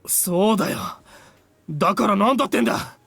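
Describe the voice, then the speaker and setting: A man's voice: high-pitched, racing, creaky, a bit bright, breathy edge, exaggerated voice. A man playing a terrified, defensive teenage schoolboy in a visual novel, stammering in agitated, strained outbursts. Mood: panicked, defensive. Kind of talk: Japanese visual novel voice acting.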